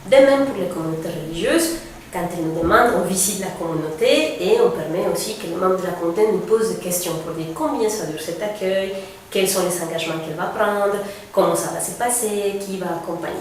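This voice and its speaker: A woman in her thirties from Mexico, a refugee aid coordinator, calmly explaining her work in a presentation. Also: lowish voice. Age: 30s. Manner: explanatory, measured, with fillers. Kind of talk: presentation to camera. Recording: very echoey room, distant mic, faint noise.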